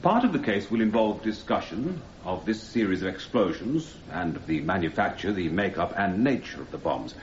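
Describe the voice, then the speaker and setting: An actor playing a grave, methodical British prosecuting barrister in a radio drama, speaking formally and deliberately. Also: male voice, lowish voice, darkish tone, natural voice. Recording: roomy, slightly dull sound, faint noise.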